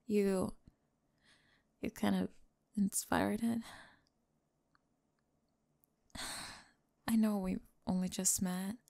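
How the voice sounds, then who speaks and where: Woman acting as sweetly smitten young female pop star, slow pace, slightly creaky, breathy, hesitant, ASMR romance roleplay. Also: medium pitch, level tone. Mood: tender, intimate. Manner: soft-spoken, breathy, giggly and hesitant.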